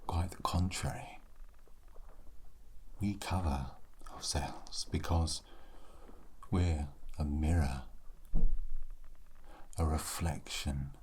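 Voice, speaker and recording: A man performing a sassy undead skeleton for an ASMR fantasy roleplay. The voice is extremely deep and mellow, hushed and often whispered. He speaks with great slowness and wry, morbid pauses, like a scholar who considers himself eternal. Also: a little hiss or hum, full character voice. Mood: proud, musing. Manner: slow, deliberate, wry, theatrical pauses. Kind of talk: ASMR character roleplay monologue.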